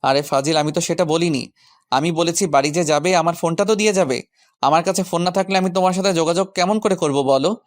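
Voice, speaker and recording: A man's voice: fairly high pitch, quick pace, natural voice. Man acting teasing, grumpy young boyfriend. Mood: mock-annoyed. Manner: teasing, mock-annoyed. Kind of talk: romantic audio story acting.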